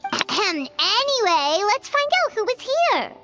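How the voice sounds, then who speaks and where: Very high, squeaky little fairy sidekick. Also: female voice, big pitch swings, measured pace, heavily acted. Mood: inquisitive. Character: tiny excitable childlike floating fairy companion, female, cheeky. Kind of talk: video game voice acting. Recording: slightly dull audio with music behind. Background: game music.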